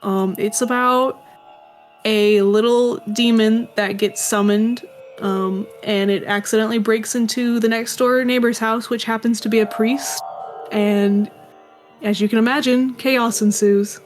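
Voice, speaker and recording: A woman's voice: on the high side, fairly even intonation, a little slow, soft background music. Young woman, wry casual interview guest. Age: young adult. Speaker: guest, indie animation and audio drama creator.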